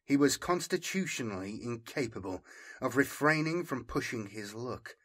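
A man narrating dramatic fiction as an audiobook reader, in a measured, serious manner. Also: medium pitch, expressive.

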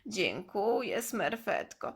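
A woman's voice: medium pitch, very animated, slow pace, breathy edge, exaggerated voice. A woman voicing a kindly male elder who patiently explains his toys in a children's play story. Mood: kindly, proud.

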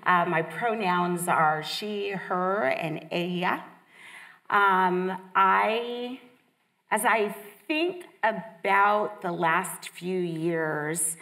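A woman from California speaking on a theater stage panel, steady and earnest, with some fillers. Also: medium pitch, vocal fry, distant mic. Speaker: state small business official, panelist. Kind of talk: panel talk.

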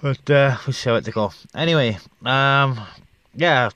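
A man chatting casually over CB radio from a parked car on open moorland, relaxed with lots of fillers. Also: mid-range voice, normal speed, dry recording, lo-fi sound. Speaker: CB radio operator and vlogger. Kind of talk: casual on-air radio chat.

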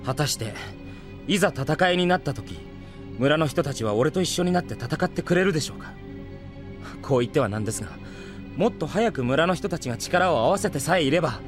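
An actor playing an earnest, polite young heroic knight in an anime audio drama. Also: male voice, fairly high pitch, expressive, a little fast, music in the background, lightly acted.

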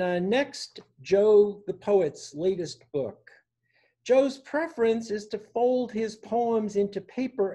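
A man in his sixties reading his own poems for an online open mic, with a measured, deliberate delivery. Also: sits high, mellow. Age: 60s. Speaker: poet reading own work. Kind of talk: poetry reading at a virtual open mic, with informal introductions. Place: small room indoors at home.

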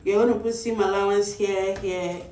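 A woman's voice: medium pitch, normal speed, slight background noise. A woman in her thirties with a Nigerian accent, giving a chatty sewing tutorial and often tagging her sentences with "okay?". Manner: lively, animated, tags phrases with "okay?". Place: small indoor sewing studio. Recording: roomy, slightly distant.

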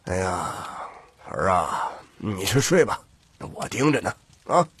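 Husky, folksy old patriarch. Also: male voice, low-pitched, big pitch swings, slow pace, a bit bright, dry recording, heavily acted. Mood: gruff, caring. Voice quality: husky, raspy. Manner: drawling, folksy, lecturing. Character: old troupe patriarch, thrifty, bossy, doting grandfather. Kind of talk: audiobook character acting.

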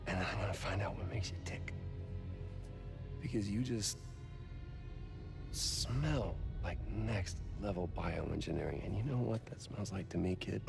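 An actor playing a smug, scheming middle-aged executive villain who talks in a casual, mocking, self-satisfied way. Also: male voice, fairly low pitch, measured pace, vocal fry, pauses often. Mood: gleeful. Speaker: corporate villain. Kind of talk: video game cutscene acting. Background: game score music.

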